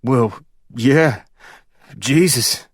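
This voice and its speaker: A man voicing a slow-witted young man from who sells moonshine and answers politely. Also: mid-range voice, husky, no room sound, studio quality. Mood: dim. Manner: flat, dim, deferential.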